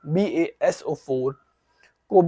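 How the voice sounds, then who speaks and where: A hoarse man teaching an exam-prep chemistry lesson, slowly reading out each question and then asking and answering his own questions. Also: fairly high pitch, mellow, no room sound, clean studio sound. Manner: explains in Hindi, reads questions aloud in English, asks and answers his own questions.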